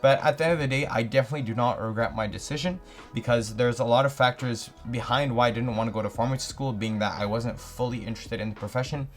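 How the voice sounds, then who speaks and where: Young Canadian guy in his twenties, quick and upbeat vlogger energy, mid-pitched, chatty Q&A answers. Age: 20s. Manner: eager, upbeat, reads each question aloud then answers at length. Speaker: YouTuber answering viewer questions. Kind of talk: casual Q&A vlog talking to camera.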